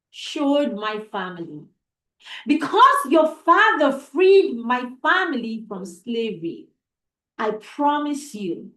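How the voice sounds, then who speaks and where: A woman storyteller performs a grateful, devoted servant woman in an oral folktale. Her delivery is emphatic and drawn out, with a high, widely swinging pitch in an echoey room. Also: a bit bright, exaggerated voice. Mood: solemn, resolute.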